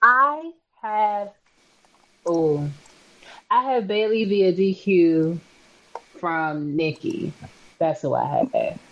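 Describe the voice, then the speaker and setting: A woman's voice: lowish voice, animated, drawn-out words, long pauses, fairly bright tone. A woman from Arkansas co-hosting a podcast, chatting loosely about wrestling with slangy jokes. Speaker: podcast host. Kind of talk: casual chat about wrestling. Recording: dull lo-fi podcast audio.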